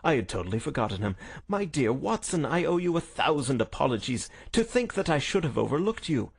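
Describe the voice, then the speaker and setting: Storybook detective, played by a male reader: self-assured, wry, theatrical, precise diction, husky and creaky. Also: mid-range voice, very animated, brisk, voice pushed up, full character voice. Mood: apologetic. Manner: precise, lofty, courteous. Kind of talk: dramatised audiobook reading.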